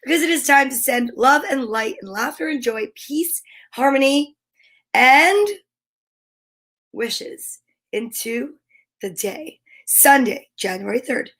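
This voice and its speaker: A woman hosting an online story time, speaking in a playful, expressive way as if chatting casually with viewers. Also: high-pitched, takes it slowly. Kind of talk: casual livestream chat with short read-aloud passages.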